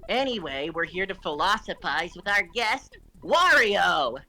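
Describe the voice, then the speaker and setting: Man acting bratty cartoon turtle prince, theatrical show host, animated pitch, deliberate pace, music behind. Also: exaggerated voice. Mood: pompous. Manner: theatrical, pompous, emphatic. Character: smug, bratty young turtle prince hosting a web show. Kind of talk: cartoon voice acting in a fan story reading.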